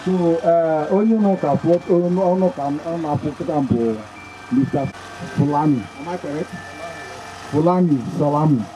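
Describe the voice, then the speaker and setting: A man with a Nigerian accent emceeing a community summit, switching between Pidgin and Igbo in a loose, halting way. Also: a little high, noisy background. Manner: loose, halting announcing with fillers. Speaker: event MC. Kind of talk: public address mixing Pidgin and Igbo.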